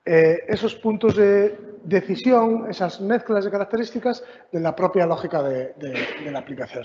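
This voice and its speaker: A man from explaining software concepts in a meetup talk, checking in with the audience as he goes. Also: sits high. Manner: explanatory, frequent filler checks. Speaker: meetup speaker. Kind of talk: technical conference talk. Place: large meeting room. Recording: lo-fi hall recording with faint noise.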